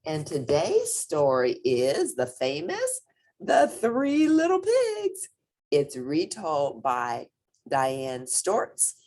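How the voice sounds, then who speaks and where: A woman reading a children's story aloud expressively, with drawn-out emphasis and playful asides. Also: sits low, darkish tone.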